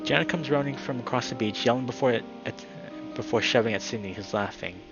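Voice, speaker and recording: A man's voice: medium pitch, fast talker, lo-fi sound, music in the background. A man speaking as a live streamer, moving between friendly chat and reading a story aloud. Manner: upbeat, friendly, excitable when greeting viewers.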